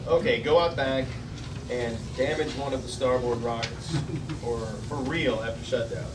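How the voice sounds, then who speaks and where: A man reading the part of a brisk, commanding space captain giving orders at a script table read. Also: medium pitch, natural voice. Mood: brisk, insistent. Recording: distant mic in echoey noisy restaurant.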